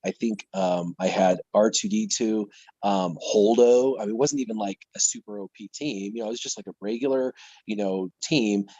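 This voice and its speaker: A man who streams the game, talking casually on a live panel and telling a rambling, animated story. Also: lowish voice, moderate pace, dry recording, highs cut off.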